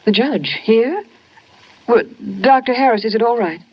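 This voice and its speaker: A woman's voice: fairly high pitch, animated, unhurried. An actress playing an affectionate, poised wealthy wife with theatrical, ladylike delivery in a 1950s radio drama. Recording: muffled 1950s radio recording.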